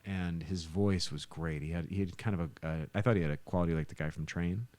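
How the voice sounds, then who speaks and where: A man's voice: deep voice, brisk, darkish tone. A middle-aged man, a musician and podcast guest, telling stories in a casual, talkative, rambling way. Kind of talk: casual chat, storytelling.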